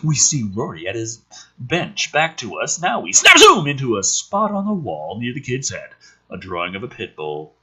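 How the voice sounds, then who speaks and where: A man with a slightly rough voice reading a script aloud dramatically, dropping in sardonic, profane asides. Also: medium pitch, huge pitch swings, moderate pace, pushed and bright, low-quality recording. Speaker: host reading a film script aloud with commentary.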